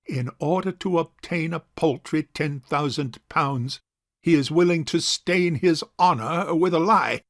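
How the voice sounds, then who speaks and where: An actor playing a pompous, self-righteous rich old bachelor uncle in a theatrical period farce. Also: male voice, on the high side, fairly bright tone, dry recording, clean studio sound. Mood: aggrieved, indignant. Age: older. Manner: pompous, deliberate, orating. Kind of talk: theatrical period farce audio drama acting.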